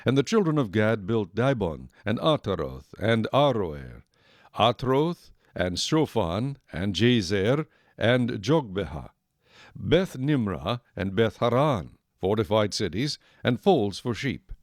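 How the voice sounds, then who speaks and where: A man narrating a dramatized audio Bible in a solemn, measured storytelling manner. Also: lowish voice, very animated, no room sound, studio quality. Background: music.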